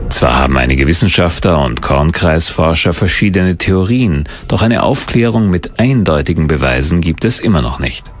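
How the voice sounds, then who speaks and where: A man's voice: sits low, lively intonation, normal speed, few pauses. A man reading scripted documentary narration as the narrator. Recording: music under the narration. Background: background music.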